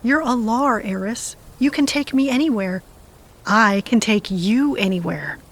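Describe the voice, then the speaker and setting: A woman's voice: a little high, measured pace. A woman playing a wry, sardonic, restless character who talks in plain colloquial phrases in an audio drama. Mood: probing, wry.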